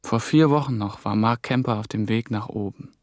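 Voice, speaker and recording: A man's voice: fairly low pitch, normal speed, no room sound, clean studio sound, close to an everyday voice. An actor playing a young intern on the run, telling his story in clipped, brooding phrases for a radio drama. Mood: brooding, tense. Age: young adult. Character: ambitious young German intern, hunted and haunted. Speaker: lead actor and narrating protagonist.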